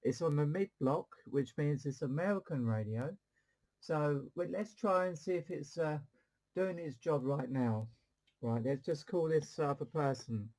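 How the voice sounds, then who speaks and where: A man's voice: a little high, unhurried, a little mellow, poor audio. A man hosting a casual CB radio demonstration, rambling along and repeating his phrases. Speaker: CB radio channel host.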